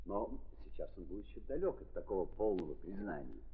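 A man narrating as a grave, ironic storyteller in a theatrical 1930s stage style, with emphatic dramatic pauses. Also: deep voice, very animated. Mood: grave, accusing. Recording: muffled 1930s recording, long reverb.

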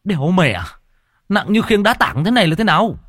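A man's voice: sits high, lively intonation, fairly quick, fairly bright tone, no room sound, clean studio sound, pitched above the performer's own voice. A man voicing a foul-mouthed young pallbearer in a horror story, complaining under strain. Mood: straining, exasperated.

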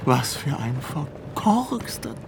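Gravelly, wry old poet. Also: male voice, medium pitch, very animated, slow pace, very noisy, heavily acted. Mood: rueful musing. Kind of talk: radio play acting.

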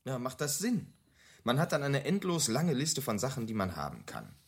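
A man's voice: mid-range voice, wildly swooping pitch, moderate pace, creaky, slightly rough, full character voice. An actor playing a blunt, disillusioned and contrary marketing man in a naturalistic radio drama, dryly contradicting. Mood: insistent.

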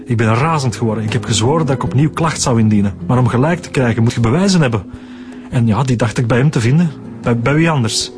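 A man's voice: mid-range voice, quick pace, darkish tone, faint music behind, natural voice. An actor with a Flemish accent playing a weary middle-aged man who confesses to a killing in a radio drama. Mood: resigned. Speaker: suspect.

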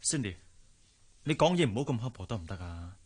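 An actor playing a protective, well-off young employer in a radio drama, earnest and considerate, with a Hong Kong accent. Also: male voice, fairly low pitch, sing-song, brisk, a little mellow. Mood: reproving. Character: kindly young wealthy gentleman, protective employer. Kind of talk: Cantonese radio drama acting.

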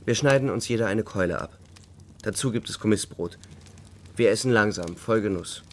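A man's voice: lowish voice, normal speed, slight background noise, natural voice. An actor playing a sober, war-weary soldier who narrates his memories in a radio drama. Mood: restrained, grave. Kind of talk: scripted first-person narration, radio drama.

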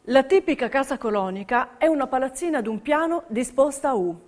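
Higher-pitched woman narrating a scripted documentary. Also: animated, brisk, darkish tone. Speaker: stage narrator. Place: radio broadcast of a theatre piece.

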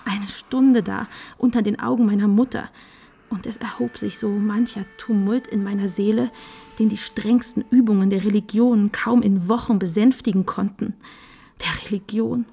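A breathy, fervent young daughter. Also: female voice, medium pitch, fairly even intonation, mellow. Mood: fervent, hushed. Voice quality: breathy, husky. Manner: slow, fervent, theatrical whispering and pleading. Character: young devout daughter, passionate, pleading, resolved to die. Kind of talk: mid-century German radio play acting, classical tragedy.

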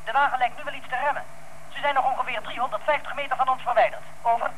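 A fast, very high-pitched policeman at a roadblock reports in clipped procedural phrases. It sounds like a police radio in an old radio play. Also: fairly even intonation, a bit bright, a little hiss or hum, poor audio. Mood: urgent.

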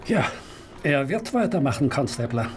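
A man's voice: mid-range voice, sing-song, moderate pace, a little mellow, lightly acted. A man playing a grim Victorian London police inspector in a radio play, authoritative and emphatic, over background noise. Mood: stern, certain. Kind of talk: German radio play dialogue.

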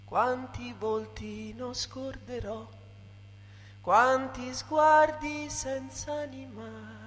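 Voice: high-pitched, steady tone, drawn-out words. A performer giving a theatrical recitation that moves into singing, with music behind. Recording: long hall reverb with music.

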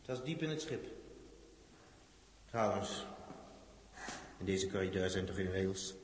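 A man acting a gruff, quarrelsome young spaceship crewman who teases yet protects, in a 1960s radio play. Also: low voice, expressive, a little slow, poor audio. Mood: hushed, wary. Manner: blustering, exasperated, interrupting. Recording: vintage radio recording with faint noise.

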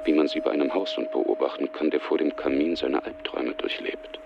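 A man's voice: very deep voice, steady tone, a little fast, gravelly, highs cut off, background noise. A man narrating a radio drama from a script.

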